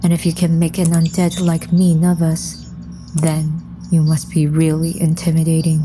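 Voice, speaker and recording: Serious undead heroine narrating an audio drama, actress, female, fairly low and flat in delivery, music underneath. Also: moderate pace, natural voice. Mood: grave, composed. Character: composed undead woman, serious mission leader. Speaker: lead character and first-person narrator.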